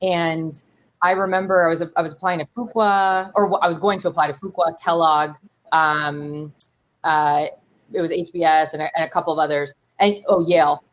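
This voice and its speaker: A woman in her thirties from Miami whose first language is Spanish, telling her story in a casual interview with lots of fillers and animated asides. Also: low-pitched, moderate pace. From Miami, Florida. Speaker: startup CEO and keynote guest. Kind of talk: conversational interview.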